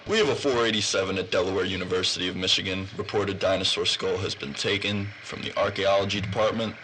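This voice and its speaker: Crackly police scanner, guy acting a deep cop dispatcher, curt, all business. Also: fast talker. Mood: businesslike. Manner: terse, official. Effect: police radio. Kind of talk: audio drama acting. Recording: noisy police scanner radio.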